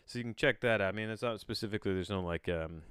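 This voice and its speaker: A man hosting a solo podcast, chatting in a rambling, halting way, often backtracking mid-sentence with a bemused, self-deprecating manner. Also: fairly low pitch, fairly bright tone, clean tone, dry recording, clean studio sound. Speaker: podcast host.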